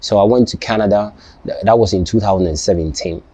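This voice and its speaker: A young man, not a native English speaker, talking casually to his viewers, halting and restarting often and checking "you understand?". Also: fairly low pitch, dark tone, husky, no echo. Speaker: vlogger. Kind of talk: casual personal talk to camera.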